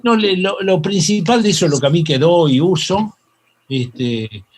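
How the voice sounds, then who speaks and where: An older Argentine man with a Rioplatense accent, a psychoanalyst telling stories in an interview, halting with many fillers and restarts. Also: high-pitched, lively intonation, a little mellow. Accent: Rioplatense Spanish. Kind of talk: reflective interview storytelling.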